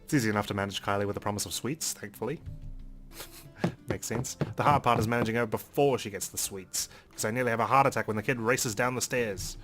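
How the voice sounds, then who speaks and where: Male let's play host, lowish voice, reading story text aloud with casual asides, soft music underneath. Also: a little fast. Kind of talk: reading a visual novel aloud with casual commentary. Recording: faint game music behind. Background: game music.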